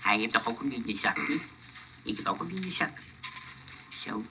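A man's voice: medium pitch, expressive, moderate pace, pushed and bright. An actor playing a stubborn, chatty old grandfather in a comic radio play, rambling, hesitant and repeating himself. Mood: rambling, matter-of-fact. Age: older. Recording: dull, noisy old radio recording.

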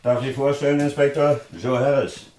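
Obedient police sergeant male actor, mid-pitched, echoey room with faint noise, radio crime drama. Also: level tone, moderate pace, natural voice. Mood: polite. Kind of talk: 1960s German radio play acting. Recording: roomy, faintly noisy old radio recording.

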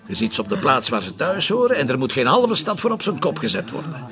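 A man's voice: mid-range voice, huge pitch swings, quick pace. An actor with a Flemish accent playing a grumbling, sceptical police chief and harried father in an audio drama. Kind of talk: naturalistic radio drama dialogue.

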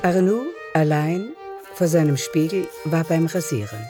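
A man tells a literary story as the narrator of a radio drama. His voice sits somewhat high for a man, and music plays clearly behind it. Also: measured pace.

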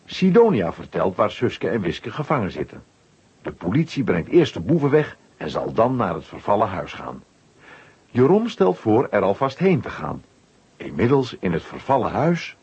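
A man with a husky voice narrating a children's audio story from a script. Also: on the low side, huge pitch swings, moderate pace, a little mellow, lo-fi sound.

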